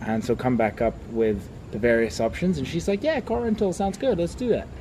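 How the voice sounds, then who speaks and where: A man's voice: mid-range voice, fairly quick, slight background noise. A young man from Hong Kong, a former travel agent, telling travel stories in a casual interview. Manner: relaxed storyteller, animated when telling anecdotes.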